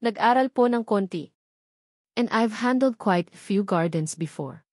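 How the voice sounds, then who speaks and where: A patient, courteous gardener in his late thirties, acted out by a woman narrator for a romance audiobook. He explains himself calmly and respectfully. The sound is dry, with hardly any room. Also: mid-range voice, a little slow, no room sound. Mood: calm, polite. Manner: calm, respectful, soft-spoken explaining.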